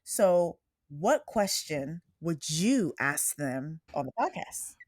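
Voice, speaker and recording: A woman's voice: low voice, big pitch swings, slow, no echo, studio quality. A woman hosting a podcast interview, upbeat and chatty, laughing often. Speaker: podcast host. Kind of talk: casual interview chat.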